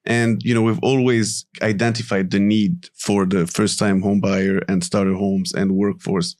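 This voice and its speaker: Low-voiced man from Morocco explaining. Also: measured pace. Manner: relaxed, explanatory, tags sentences with "right?" and "you know". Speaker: guest, company CEO. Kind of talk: casual interview talk.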